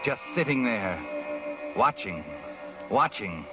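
An actor playing a knowing, taunting narrator of a 1940s radio mystery, telling the story insinuatingly in the second person. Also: male voice, fairly low pitch, very animated, takes it slowly. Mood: ominous, insinuating. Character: ominous, knowing male narrator taunting the guilty heroine. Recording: vintage radio recording. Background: music.

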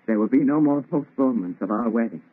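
An actor playing a tormented young scientist with fervent, theatrical delivery in an old radio melodrama. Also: male voice, medium pitch, animated, quick pace, lightly acted. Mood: tender, fervent. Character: overwrought young scientist, tormented and intensely emotional. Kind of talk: 1930s radio melodrama acting. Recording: thin, tinny old radio recording.

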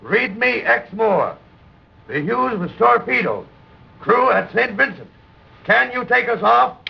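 An actor playing a proud, earnest, warm-hearted merchant captain in an old-time radio drama, with a gravelly, husky voice. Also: male voice, very high-pitched, big pitch swings, takes it slowly, exaggerated voice. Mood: urgent, determined. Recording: dull vintage radio recording.

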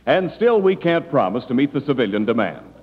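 A man's voice: medium pitch, sing-song, fairly quick. A man reading a 1940s radio advertisement as announcer, with polished, emphatic salesmanship. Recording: muffled old radio broadcast, hall echo.

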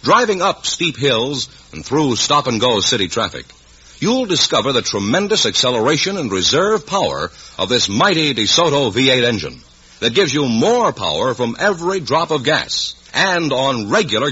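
A man's voice: medium pitch, big pitch swings, normal speed, sharp tone, breathy edge. An American man doing scripted 1950s radio announcing with an emphatic, salesman-like delivery. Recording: dull vintage radio recording.

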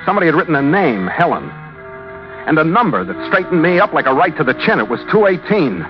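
A man's voice: fairly high pitch, big pitch swings, fast. A man with an American accent playing a tough, suspicious ship's skipper, narrating a mystery in a terse, wisecracking radio-drama style. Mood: hard-boiled narrating. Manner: terse, wisecracking, hard-bitten. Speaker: lead actor and narrator. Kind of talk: hardboiled 1940s-50s American radio acting and narration. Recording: muffled old radio recording with music behind.

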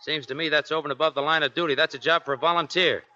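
Cocky young-spirited seaman: piercingly bright, rapid, insolent, higher-pitched, in vintage radio drama. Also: fairly even intonation. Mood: defiant. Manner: fast-talking, insolent, sharp. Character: cocky, clever, defiant navy seaman. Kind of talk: 1940s radio drama acting. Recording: dull 1940s radio broadcast recording.

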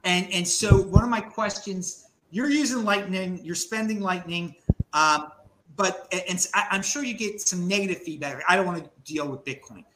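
A man hosting a casual panel chat, halting and full of restarts, calling guests "dude". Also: high voice, a bit bright, reverberant, a little hiss or hum, poor audio. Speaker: podcast host.

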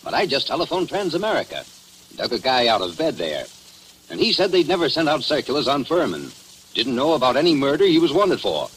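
Crabby, lively-pitched official. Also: male voice, brisk. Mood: irritated, suspicious. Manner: gruff, confrontational. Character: crabby, suspicious male small-town official. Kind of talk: 1940s radio drama acting. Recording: noisy old radio broadcast recording.

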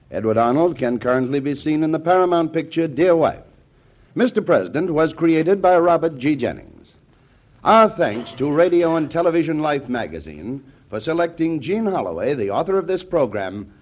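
A dull old radio recording has a man announcing credits with an American accent. His voice is fairly high with lively movement in pitch, in a scripted 1940s style.

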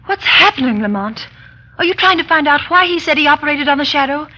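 A high-voiced, anxious radio heroine. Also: female voice, lively intonation, fast, pushed and bright. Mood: worried, dramatic. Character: anxious, determined young lady searching for her friend. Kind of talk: 1940s radio melodrama acting. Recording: dull old radio recording with music.

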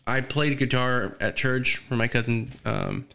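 A young man with a mid-range voice hosts a relaxed chat. He talks in a laid-back way, swears freely and laughs often. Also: medium pitch, measured pace, creaky. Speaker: podcast host, musician. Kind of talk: casual podcast chat.

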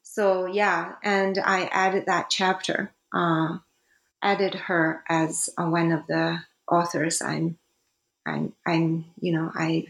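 Non-native woman author, halting and slow, roomy echo. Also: on the low side, little pitch movement, vocal fry. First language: not English. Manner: hesitant, many fillers and restarts. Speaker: author, guest. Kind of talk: academic interview.

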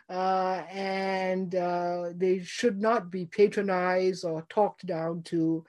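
A woman's voice: lowish voice, flat delivery, extremely slow, darkish tone. A woman in her seventies from Singapore, talking thoughtfully as an interview guest, with fillers and small laughs. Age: 70s. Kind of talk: conversational interview.

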